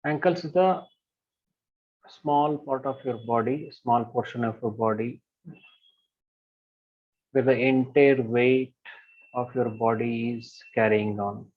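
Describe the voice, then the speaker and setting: A male meditation guide talks listeners through a body scan at a very slow, unhurried pace. His pitch is ordinary, and he repeats short affirmations in a soft, gentle way. The recording sounds dull and lo-fi.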